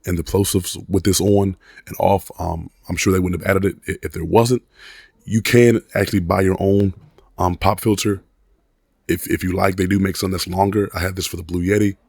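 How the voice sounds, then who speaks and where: A hoarse man in his thirties rambles through a casual microphone review from his desk at home. His voice sits low with a gravelly fry, and the sound is close and dry with no room echo. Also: a little mellow. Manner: rambling, many restarts and fillers. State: hoarse, recovering from a cold. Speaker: product reviewer. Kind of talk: casual product review vlog. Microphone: desk condenser USB mic.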